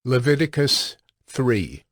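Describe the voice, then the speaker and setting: Casting: male heading announcer, mid-pitched, very slow, formal scripted delivery. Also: sing-song, dry recording, clean studio sound, faint music behind.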